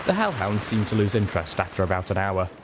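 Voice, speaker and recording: A man with a low voice narrates a first-person story. He reads from a script as an audiobook narrator, and a faint ambient noise sits behind his voice. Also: very animated, quick pace. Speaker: audiobook reader.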